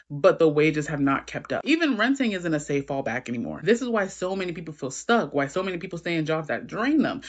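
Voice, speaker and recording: A speaker giving steady, reflective commentary. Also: medium pitch.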